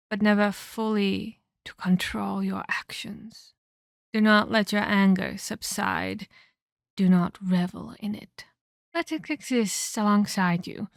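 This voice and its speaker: A woman performs a sinister female vampire captor in a dramatic ASMR roleplay. She speaks slowly and deliberately, with theatrical, condescending menace and taunting scorn. The sound is very dry, with no room around the voice. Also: mid-range voice, creaky, no room sound.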